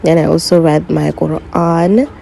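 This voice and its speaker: A young woman who is not a native English speaker, narrating her cooking step by step in an unhurried way and correcting herself as she goes. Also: low-pitched, vocal fry, mellow, faint music behind. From Nigeria. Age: young adult. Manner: unhurried, self-correcting, instructive. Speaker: cooking vlogger.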